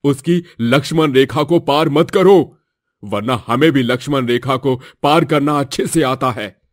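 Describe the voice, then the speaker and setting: A man's voice: medium pitch, measured pace, dry recording, clean studio sound, natural voice. A man playing an older father-in-law in a family story, firm and declamatory. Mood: stern. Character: authoritative older father-in-law of a wealthy household.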